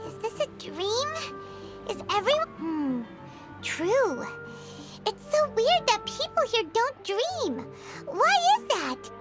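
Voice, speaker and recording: A woman voicing a small, childlike fairy companion in a video game, squeaky, expressive and earnest. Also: heavily acted. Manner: refers to self by name, expressive, earnest.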